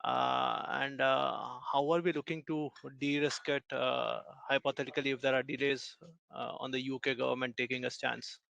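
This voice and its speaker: A man's voice: mid-range voice, little pitch movement, moderate pace, creaky, a bit bright, dry recording. A man asking polite, multi-part questions as an analyst on a company earnings call.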